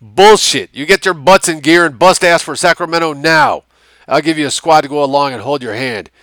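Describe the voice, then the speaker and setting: A man's voice: fairly high pitch, fairly quick, a bit bright, dry recording, pitched above the performer's own voice, lightly acted. A man voicing a brash, foul-mouthed American civilian liaison in a war novel, with a folksy, bullying drawl. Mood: bossy.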